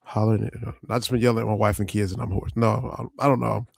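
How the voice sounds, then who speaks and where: A hoarse man hosting a casual sports podcast, rambling loosely and repeating himself. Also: fairly low pitch, flat delivery, fast talker, dry recording, clean studio sound. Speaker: podcast host.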